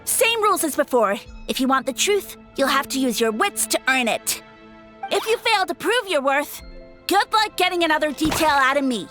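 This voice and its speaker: A woman's voice: high voice, sing-song, moderate pace, bright, forceful tone, faint music behind, full character voice. A woman voicing a smug, boastful little male mushroom creature in a video game, with a pompous, theatrical, taunting delivery. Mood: huffy, defensive.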